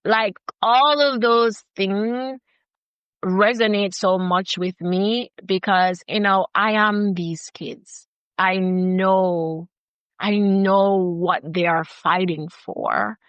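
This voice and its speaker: A woman's voice: mid-range voice, steady tone, extremely slow, smooth, no room sound, highs cut off. A woman with a Jamaican accent, a filmmaker guest in her thirties, talking earnestly and emphatically in an interview, with lots of "like" and "you know". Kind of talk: passionate conversational interview.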